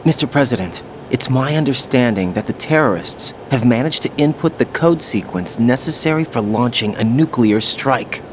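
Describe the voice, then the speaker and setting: An actor playing an earnest, incredulous young soldier in a video game drama, speaking in clipped, questioning phrases. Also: male voice, medium pitch, lively intonation, moderate pace, hardly any pauses, slight background noise, lightly acted. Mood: dutiful, urgent. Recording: game soundtrack music underneath.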